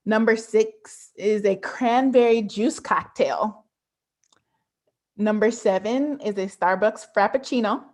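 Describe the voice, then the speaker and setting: A woman presents online in a mid-pitched voice. She is emphatic and engaging, addressing her listeners directly as a guest speaker on a video call. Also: slow, a little mellow. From born in Jamaica, grew up in Georgia, USA. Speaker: pediatric dentist, guest speaker. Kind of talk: prepared, interactive online presentation. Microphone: computer on a video call.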